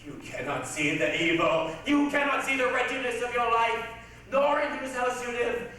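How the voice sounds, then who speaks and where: Far from the microphone in a very reverberant hall, a man plays an old blind seer of stage tragedy. He is bitter and defiant, pronouncing doom in a high, bright, weighty voice. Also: lively intonation, full character voice. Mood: accusing, ominous. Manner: declamatory, deliberate, weighty. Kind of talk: readers' theatre tragedy acting. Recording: echoey hall from far off, faint noise.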